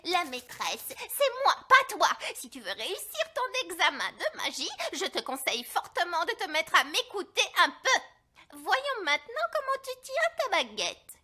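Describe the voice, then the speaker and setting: A veteran schoolmistress of magic in a children's cartoon. Very high-pitched, sharp and animated, she is imperious, strict and exasperated with her pupil. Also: measured pace, exaggerated voice. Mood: scolding. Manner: imperious, scolding.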